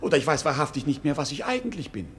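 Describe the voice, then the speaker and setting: A man's voice: on the high side, big pitch swings, rapid-fire, a little hiss or hum. An actor playing a glib, mocking court fool in a radio play, declaiming wordy mock-ceremonious patter like a showman. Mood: feigned bewilderment. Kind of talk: theatrical radio play acting.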